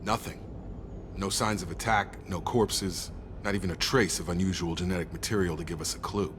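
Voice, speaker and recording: An actor playing a loyal, no-nonsense soldier in a video game, steady and straightforward. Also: male voice, normal speed, natural voice. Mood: steady, earnest. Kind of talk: dramatic video game dialogue. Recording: loud vehicle rumble behind voice.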